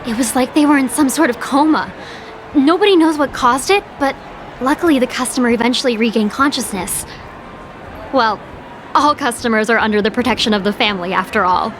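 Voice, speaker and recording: A woman playing a sweet, polite young singer in a video game, speaking in a gentle, earnest way. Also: fairly high pitch, brisk, a little hiss or hum, natural voice. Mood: polite, hesitant. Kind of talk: scripted video game voice acting. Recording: background music under the voice.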